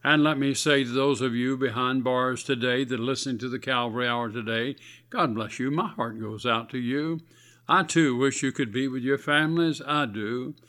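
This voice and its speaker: A man's voice: mid-range voice, a bit bright. Male radio preacher, scratchy voice, folksy and earnest. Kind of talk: radio sermon.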